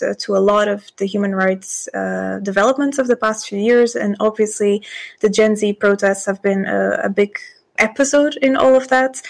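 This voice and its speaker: A woman in her twenties from Portugal, a politician answering interview questions thoughtfully, with pauses and restarts. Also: medium pitch. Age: 20s. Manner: thoughtful, hesitant with fillers and restarts. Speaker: politician, guest.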